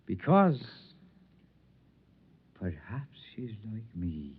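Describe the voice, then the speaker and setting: An actor playing an eloquent, lonely, defiant mutant wanderer in a theatrical 1950s radio drama style. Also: male voice, on the low side, huge pitch swings, very slow, slightly rough, heavily acted. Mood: wry, poetic. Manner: theatrical, impassioned. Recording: muffled vintage 1950s radio recording.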